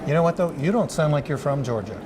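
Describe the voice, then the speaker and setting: A man's voice: fairly high pitch, lively intonation, fast talker, noisy background. A man hosting a television interview, asking friendly, conversational questions. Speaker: talk show host.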